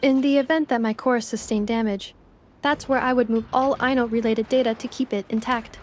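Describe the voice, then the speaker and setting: A woman's voice: on the high side, fairly even intonation, a little fast, faint music behind, close to an everyday voice. A woman voicing a polite, literal-minded robot assistant in a video game, formal, precise and composed. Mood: informative.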